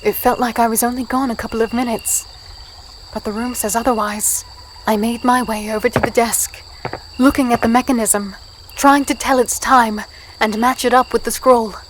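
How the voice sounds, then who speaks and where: A higher-pitched young heroine, acted by a woman telling her own dark fantasy tale. She is curious but guarded, polite and a little hesitant. Faint night ambience of crickets sits behind her voice. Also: steady tone, normal speed, noisy background, close to an everyday voice. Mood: introspective. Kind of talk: first-person audio drama narration and dialogue.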